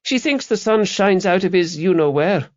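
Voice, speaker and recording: Man putting on a high-pitched, chatty young girl. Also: animated, normal speed, dull audio. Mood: gossipy, scornful. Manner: girlish, informal, frank. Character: chatty, candid school-age daughter, eager and curious. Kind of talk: audiobook character dialogue.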